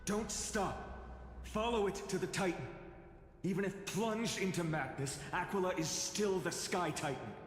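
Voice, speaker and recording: An actor voicing a proud, imperious warrior in a video game, formal and commanding. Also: male voice, high voice, a little hiss or hum. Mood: urgent, wary. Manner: commanding, deliberate. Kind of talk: English video game voice acting. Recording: echoey hall reverb. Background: faint music.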